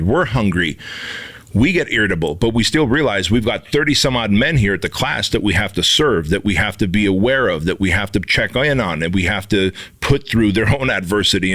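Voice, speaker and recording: A man's voice: on the low side, fairly bright tone. A man in his forties from Armenia, co-hosting a podcast and talking emphatically, swearing freely. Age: 40s. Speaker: podcast co-host. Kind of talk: casual motivational talk.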